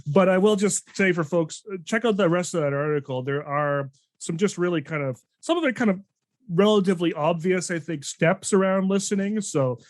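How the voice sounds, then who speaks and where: A man's voice: high voice, normal speed, no room sound. A man hosting a podcast interview, talking casually in a rambling way with many restarts and fillers. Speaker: podcast host.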